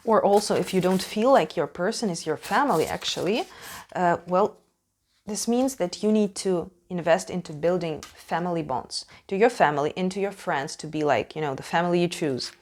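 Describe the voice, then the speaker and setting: Ukrainian woman w russian accent doing a casual tarot reading, kinda low voice, halting but emphatic. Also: darkish tone. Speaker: tarot reader hosting a channel.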